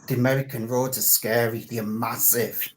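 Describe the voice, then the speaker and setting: A woman's voice: extremely low pitch, level tone, normal speed, a little hiss or hum. A woman co-hosting a live chat, joking and teasing. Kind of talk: casual live-stream chat.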